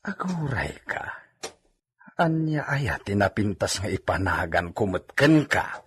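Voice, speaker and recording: A man's voice: lowish voice, very animated, unhurried, breathy edge, full character voice. A man playing a self-pleased village farmhand musing aloud to himself in an Ilocano radio comedy drama. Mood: pleased, chuckling.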